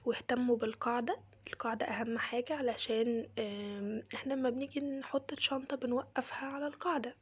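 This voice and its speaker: A woman with an Egyptian Arabic accent and a cold, talking through a casual how-to tutorial in a soft, mostly whispered, chatty way. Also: on the high side, fairly even intonation, fast talker, husky, muffled recording. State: has a cold, voice changed. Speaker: crochet maker demonstrating cleaning.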